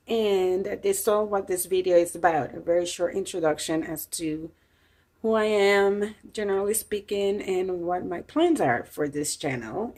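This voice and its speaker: A woman's voice: lowish voice, a little mellow. A woman in her fifties from Puerto Rico, with a Puerto Rican Spanish accent and Spanish as her first language, chatting casually to her channel's viewers with frequent fillers and easy laughs. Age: 50s. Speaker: channel host. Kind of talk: casual chat to camera.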